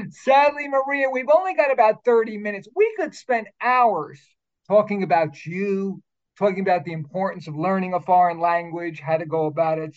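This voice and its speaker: A man's voice: high voice, dull audio. A man hosting a talk show, speaking in a formal, upbeat, carefully enunciated way. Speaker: radio show host. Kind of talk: scripted show introduction and interview.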